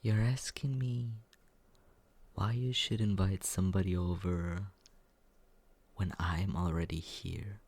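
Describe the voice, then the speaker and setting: A smug, mocking young man, played by a young man leans close and whispers his teasing in a slow, unhurried drawl. The voice is low and gravelly, with a breathy, husky edge. He sounds condescending but quietly looks after the listener, all in soft close-mic ASMR. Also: fairly even intonation, full character voice. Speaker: ASMR roleplay performer.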